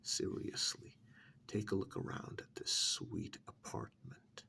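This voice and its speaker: A man playing a smug, pampered captive in a soft-spoken ASMR role-play, drawling sardonically with long pauses and feigned innocence. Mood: smug, boastful. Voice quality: soft, half-whispered, gravelly.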